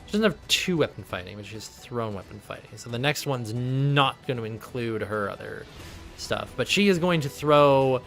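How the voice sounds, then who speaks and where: A man's voice: medium pitch, lively intonation, normal speed, fairly bright tone, faint music behind. A man acting as game master in a live tabletop game, narrating turns in a chatty way and thinking rules through aloud. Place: home streaming setup.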